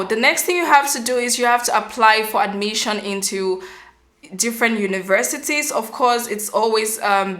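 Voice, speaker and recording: A young woman from Cameroon, giving earnest step-by-step advice in a vlog, often pausing on "um" and restarting. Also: medium pitch, pushed and bright. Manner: earnest, explanatory, frequent um fillers and restarts. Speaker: vlogger.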